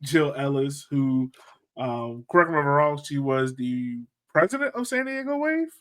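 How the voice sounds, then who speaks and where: A man's voice: medium pitch, animated, moderate pace, a bit bright. An American man speaking as a podcast host in a casual, chatty style about sports.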